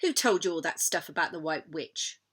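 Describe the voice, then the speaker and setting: A woman's voice: deep voice, expressive, moderate pace, fairly bright tone, husky, heavily acted. A woman voicing a sulky, greedy young boy with a snappish, know-it-all manner in a storybook. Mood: doubtful.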